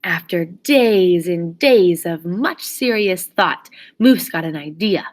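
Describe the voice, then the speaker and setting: A woman reading a children's story aloud expressively, with theatrical, drawn-out emphasis on key words. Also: fairly low pitch, lively intonation. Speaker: storybook reader.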